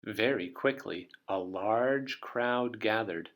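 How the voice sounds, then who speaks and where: A man reading a children's story aloud as an expressive narrator. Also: fairly low pitch, takes it slowly. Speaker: storybook reader.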